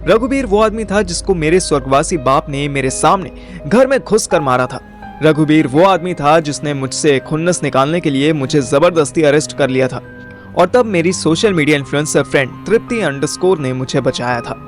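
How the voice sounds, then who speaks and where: A male narrator tells a scripted audio story. His pitch sits a little high for a man, and music plays underneath the narration. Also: animated, moderate pace.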